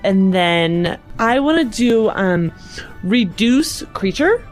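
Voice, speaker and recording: A woman playing a tabletop role-playing game, casually and haltingly describing her actions, with music behind her. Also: mid-range voice, sing-song.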